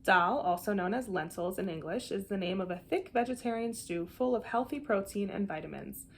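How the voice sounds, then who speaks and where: A woman's voice: mid-range voice, expressive, a little fast. Woman reading a storybook over background noise. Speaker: storybook reader. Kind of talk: expressive children's picture book read-aloud.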